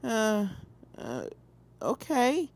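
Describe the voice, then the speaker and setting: A woman's voice: on the high side, wildly swooping pitch, drawn-out words, gravelly, a little hiss or hum, heavily acted. A woman voicing a plucky, stubborn young filly who hunts ghosts, spirited and determined, in a story reading. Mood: hesitant. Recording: music behind the voice.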